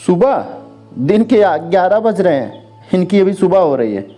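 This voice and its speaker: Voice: mid-range voice, big pitch swings, normal speed, dark tone, faint music behind. A storyteller voicing a scolding, exasperated middle-aged mother with a nagging, bossy manner.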